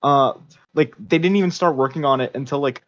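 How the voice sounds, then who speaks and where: A man's voice: medium pitch, a little fast, a bit bright. A man co-hosting a casual podcast, speaking bluntly and informally on muffled, lo-fi audio. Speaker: podcast co-host. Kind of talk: casual chat. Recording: dull, lo-fi voice audio.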